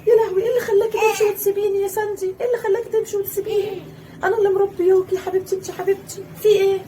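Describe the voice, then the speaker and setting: Woman as a fussy Egyptian stepmother, very high and quick-talking, mellow tone, a bit off-mic. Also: a little hiss or hum, close to an everyday voice. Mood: remorseful. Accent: Egyptian Arabic. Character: fussy stepmother, doting then scolding. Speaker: actor in a family skit. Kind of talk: home-made family skit. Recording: voice a little far from the mic.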